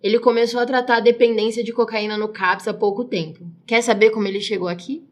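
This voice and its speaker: A woman hosting a podcast, narrating and explaining in a calm, scripted way. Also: fairly high pitch, fast. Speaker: podcast host.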